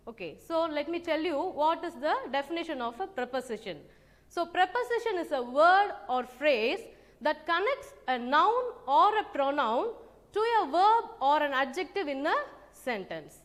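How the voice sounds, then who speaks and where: Woman teacher, very high voice, unhurried, reverberant room. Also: a bit bright. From South India. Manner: slow, careful teacherly explaining, sings simple rhymes. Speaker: English teacher. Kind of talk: online grammar lesson for children.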